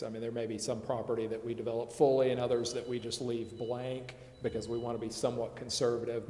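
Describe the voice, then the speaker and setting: A man's voice: mid-range voice, darkish tone. A man explaining things at a public meeting as an adviser, hedging and repeating himself as he goes. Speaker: presenter advising a city committee. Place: council chamber. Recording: echoey meeting chamber, faint noise.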